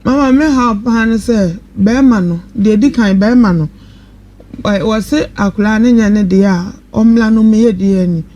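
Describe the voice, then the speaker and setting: A woman's voice: mid-range voice, normal speed, warm tone, muffled recording. A woman with a Ghanaian accent giving a spontaneous personal account as a guest on a live radio discussion. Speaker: guest telling her personal story. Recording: noisy live radio studio sound.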